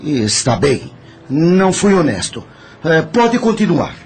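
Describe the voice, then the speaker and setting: A crooked boss's spirit played by a man, touchy and defensive, protests in an audio drama. His high, swooping voice has a Brazilian accent, over faint noisy ambience. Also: very animated, slow, heavily acted. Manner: indignant, defensive. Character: defensive, touchy male spirit, dishonest former boss. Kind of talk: Portuguese-language audio drama acting.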